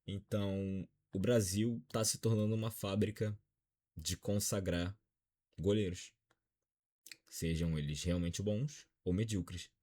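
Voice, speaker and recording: A man's voice: deep voice, fairly even intonation, a little slow, mellow. A Brazilian man in his twenties with a Brazilian accent, giving a dejected, frank football opinion vlog. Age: 20s. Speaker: football commentator.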